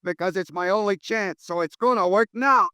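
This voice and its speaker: A man's voice: high-pitched, normal speed, sharp tone, smooth, dry recording, clean studio sound. An actor playing a desperate, scheming male murderer in a 1950s radio drama, talking hard and menacing. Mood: frantic.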